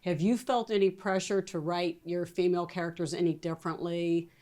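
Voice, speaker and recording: A woman's voice: on the low side, fairly even intonation, a little fast, warm tone. A woman interviewer in a meeting room, asking chatty, curious follow-up questions of authors.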